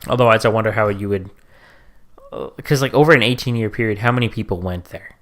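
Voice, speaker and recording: A man's voice: fairly low pitch, fast talker, fairly bright tone. A man co-hosting a casual podcast, getting worked up and swearing as he talks. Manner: gets worked up, swears when ranting. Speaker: podcast co-host.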